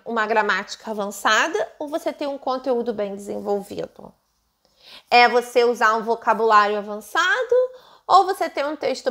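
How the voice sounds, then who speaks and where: Brazilian woman, high voice, lively teacher, rhetorical questions, home mic. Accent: Brazilian Portuguese. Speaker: English test prep teacher. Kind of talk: casual teaching monologue to camera. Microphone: own microphone.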